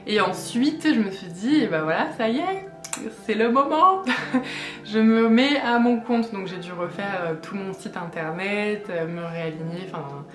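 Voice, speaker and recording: A woman's voice: mid-range voice, expressive, measured pace, reverberant, faint music behind. A woman in her late twenties from France, chatting casually to camera with lots of hesitations and fillers. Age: 20s. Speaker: vlogger. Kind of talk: casual vlog chat.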